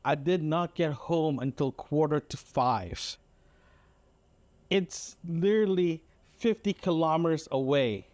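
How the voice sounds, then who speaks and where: A man's voice: a little high, slow pace, a little mellow, no echo. A man from Canada hosting a casual audio diary, telling everyday stories in a rambling, repetitive, mildly exasperated way with frequent check-ins to the listener. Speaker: podcast host.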